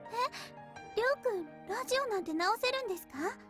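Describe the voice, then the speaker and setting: A woman voicing a polite, bubbly young maid girl in a Japanese visual novel, softly inquisitive and cutesy. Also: extremely high pitch, expressive, very fast, over music. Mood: surprised, hopeful.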